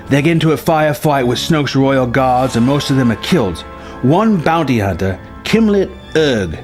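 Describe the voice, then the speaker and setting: A man telling a film story as a narrator, with a dramatic delivery and pauses for suspense. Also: mid-range voice. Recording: music bed under the voice. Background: music.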